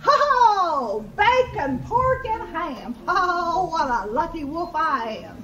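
Woman playing a loud, blustering big bad wolf. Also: very high-pitched, sing-song, dull audio, heavily acted. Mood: gleeful. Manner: drawn-out, boastful threats. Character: gleeful, greedy, menacing male big bad wolf. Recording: echoey room with clear background noise.

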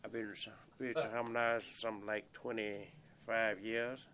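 A man's voice: on the low side, little pitch movement, moderate pace, fairly bright tone, slight background noise. A man in his fifties, a gospel group singer, introducing himself with enthusiasm. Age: 50s.

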